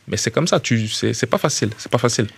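Un homme d'une trentaine d'années à l'accent belge de Liège, footballeur invité, qui parle de façon décontractée et se répète en cherchant ses mots. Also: male voice, medium pitch, animated, gravelly, slightly rough. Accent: Belgian French, Liège. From Belgium. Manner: quick, repeats words as he searches, familiar "tu vois". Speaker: footballer guest. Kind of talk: casual interview chat. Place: small radio studio. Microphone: studio mic with headphones.

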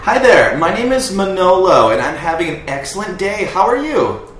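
A man puts on a cheerful, naive male caller in a comedy phone prank. The voice is polite, fairly high and lilting, in a roomy space. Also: sing-song, moderate pace, fairly bright tone, a little hiss or hum. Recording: roomy living room recording.

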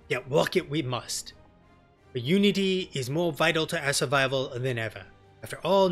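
Noble fantasy game commander , acted by a male streamer: grave, formal, bright-toned, music underneath. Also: fairly high pitch, close to an everyday voice. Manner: formal, measured, solemn. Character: noble, grave knight commander, weighed by duty. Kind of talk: fantasy game dialogue reading.

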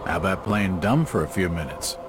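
An actor playing a wry, persistent hard-boiled detective in a video game, sardonic and probing. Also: male voice, deep voice, animated, brisk, background noise.

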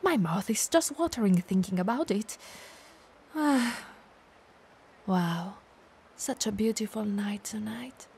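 A woman's voice: mid-range voice, expressive, slow pace, creaky, long pauses, a little mellow, slightly rough, full character voice. A woman playing a playful, clumsy, bloodthirsty female vampire who mutters theatrically to herself in an ASMR audio roleplay. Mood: musing, wistful.

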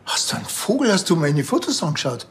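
An actor playing a dry, stubborn small-town police inspector with a Bavarian accent and a curt, offhand way of talking. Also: male voice, a little high, wildly swooping pitch, fast, a little hiss or hum. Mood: irritated. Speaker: lead actor. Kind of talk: audio version of a TV crime comedy, natural dialogue.

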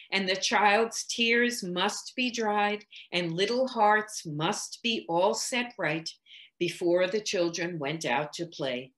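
An older woman reading a literary story aloud in an expressive, measured, articulate manner. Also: medium pitch. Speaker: reader.

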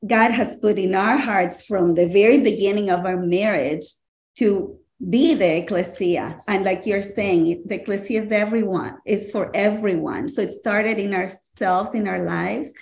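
A middle-aged woman from Ecuador speaks as a podcast guest on a video call from her living room. She talks in a warm, earnest way and laughs easily. Her voice carries a roomy echo from the room around her. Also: medium pitch, measured pace, vocal fry. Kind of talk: casual interview talk.

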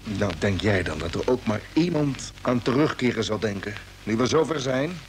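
An actor playing a determined, earnest spaceship commander in an old Dutch radio drama, speaking emphatically. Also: male voice, lowish voice, lively intonation, moderate pace, close to an everyday voice. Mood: resolute. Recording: noisy vintage radio play recording.

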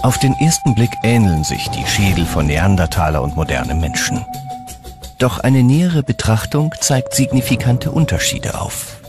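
A man narrates a documentary from a dramatic script in a low voice. His intonation is animated and moves widely. Music plays clearly behind him. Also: moderate pace.

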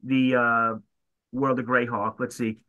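A man with a bright, forceful voice co-hosts a relaxed lore talk. He speaks hesitantly, with plenty of ums and restarts. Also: medium pitch, a little slow, muffled recording. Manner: hesitant, many fillers. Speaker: co-host. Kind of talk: casual lore discussion, reading passages aloud.

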